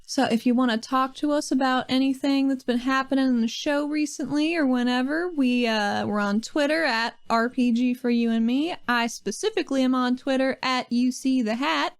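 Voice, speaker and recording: A woman playing in a tabletop role-play podcast who talks in a giggly, animated way. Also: high voice, normal speed, few pauses, smooth, dry recording, studio quality. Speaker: player and co-host.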